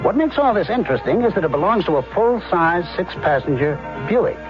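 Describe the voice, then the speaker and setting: Animated, higher-pitched male car-ad announcer. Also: brisk. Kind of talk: scripted advertisement. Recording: muffled radio broadcast, music behind.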